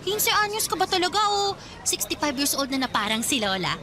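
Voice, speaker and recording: A woman playing a lively, restless teenage girl in a radio drama, teasing and playful. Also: sits high, a little fast. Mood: teasing, breezy. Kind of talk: Tagalog radio drama acting. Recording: music and vehicle noise behind voice.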